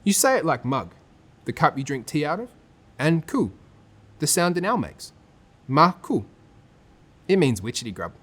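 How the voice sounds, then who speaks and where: A man's voice: on the high side, sing-song, moderate pace, long pauses, fairly bright tone, pitched above the performer's own voice. A man voicing a shy but eager eight-year-old boy in a children's storybook, with an Australian accent and an earnest, simple delivery. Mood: proud, friendly. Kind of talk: children's storybook read aloud.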